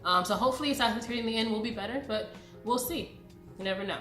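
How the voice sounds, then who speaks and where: A woman's voice: fairly high pitch, fast talker, a bit bright. A woman in her late twenties with a congested voice from a cold, chatting casually and familiarly to her viewers as a vlogger. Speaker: YouTube vlogger. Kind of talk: casual direct-to-viewer channel update. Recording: roomy echo, background music. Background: music.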